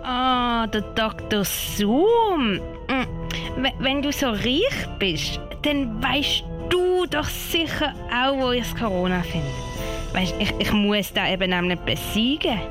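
Woman acting earnest little scout girl, Swiss German accent, highish pitch, childlike hesitant delivery, children's radio drama, clear music underneath. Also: expressive, takes it slowly. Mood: curious, bold. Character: plucky, naive little scout girl on a quest.

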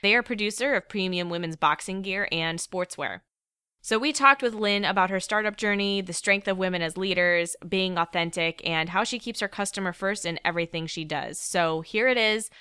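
Bright, polished woman host. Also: medium pitch, moderate pace, smooth, no echo, studio quality. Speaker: podcast co-host. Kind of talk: scripted show introduction.

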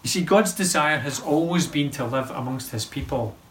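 A man's voice: a little high, moderate pace. A man preaching an earnest sermon as a local pastor, rising with emphasis on key points. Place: empty church hall. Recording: roomy echo, voice from far off.

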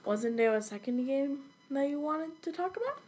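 A woman's voice: sits high, expressive, normal speed, darkish tone. A teenage girl hosting a school project interview, reading her jokes and questions from a script in a chirpy way. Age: young teen. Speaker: student interviewer and show host.

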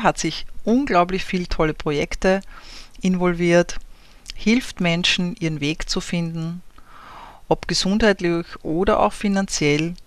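A woman's voice: fairly low pitch, clear, resonant tone, no echo. A woman hosting an interview who gives a friendly welcome and backs her guest with frequent murmurs of agreement. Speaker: interview host.

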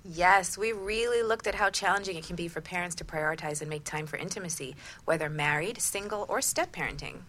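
A woman with a fairly low voice speaks as a talk radio host and relationship coach. Her delivery is that of a partly scripted show presentation. Some noise can clearly be heard behind her. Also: brisk, few pauses, fairly bright tone.

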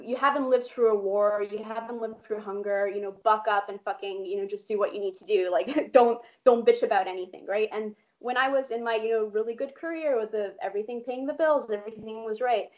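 A Polish-born woman in her thirties tells her story with animated, casual energy, swearing freely and laughing along the way. Her voice sits a little high. The recording is dull and lo-fi. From Poland. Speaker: guest animator. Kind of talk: candid interview storytelling.

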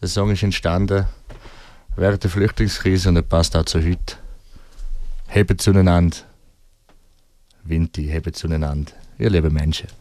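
A man chatting casually as a musician guest in a radio interview, speaking hesitantly in short phrases with frequent pauses. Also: low voice, fairly even intonation, dark tone, dry recording.

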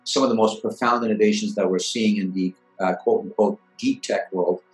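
A man in his forties, originally from Iran, answering interview questions in a measured, thoughtful way with many fillers. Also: lowish voice, steady tone, soft background music, low-quality recording. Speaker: guest, venture investor.

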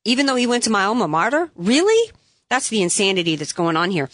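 A woman hosting a political talk radio show, delivering an opinionated, emphatic monologue with sarcastic asides while her voice is going hoarse. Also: mid-range voice, big pitch swings, quick pace, fairly bright tone, dry recording, clean studio sound. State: losing her voice, has a cough drop in.

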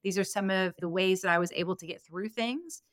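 A woman's voice: mid-range voice, fairly quick, fairly bright tone, no echo. Candid middle-aged woman, dry close recording. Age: 49, turning fifty next month. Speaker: podcast guest, business consultant and strategic planner. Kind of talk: conversational interview answers.

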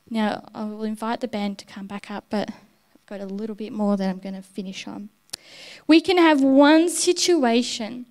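A woman's voice: on the high side, clear, resonant tone. Woman preaching a candid sermon. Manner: personal, candid storytelling.

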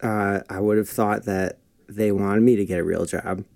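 Laughing low-voiced man. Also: fairly even intonation, normal speed, creaky, darkish tone. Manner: laughs often, self-deprecating. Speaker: guest musician. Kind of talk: casual podcast chat.

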